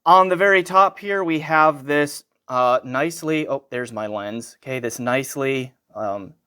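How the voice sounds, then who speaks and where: A man's voice: mid-range voice, sharp tone, smooth. An American man giving a casual hands-on product review, rambling a little and correcting himself as he goes. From United States.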